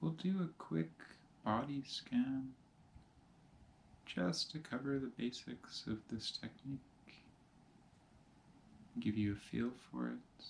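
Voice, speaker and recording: A man teaching meditation, giving calm, measured instructions in short phrases with pauses between them. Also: medium pitch, gravelly, slight background noise. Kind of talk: guided meditation, slow spoken instructions.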